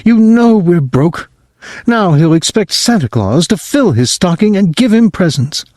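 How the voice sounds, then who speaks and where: A man's voice: high voice, very animated, measured pace, darkish tone, dry recording, studio quality, pitched above the performer's own voice. A man doing a fretful peasant mother. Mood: worried. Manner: fretful, pleading. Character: anxious poor peasant mother.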